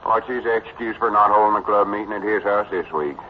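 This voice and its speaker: Radio comedy: man as self-important older Southern rustic, low voice, homespun drawl, muffled vintage sound. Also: fairly quick, heavy background noise, natural voice. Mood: grumbling. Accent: rural Southern US. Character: folksy, self-important, opinionated older country storekeeper. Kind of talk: old-time radio comedy acting.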